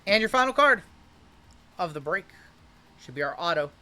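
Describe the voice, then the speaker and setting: Hyped live-stream card break host, a man with a high, bright, strained voice calling out cards. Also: big pitch swings, normal speed. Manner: hyped, joking, calls out cards as they are pulled. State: voice going hoarse from shouting. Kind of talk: livestream card break commentary.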